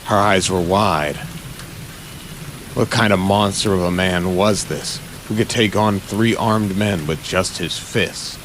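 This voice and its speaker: A man's voice: sits low, slow, a bit bright, a little hiss or hum. A man narrating an audio drama in a suspenseful, dramatic scripted style, with short punchy phrases.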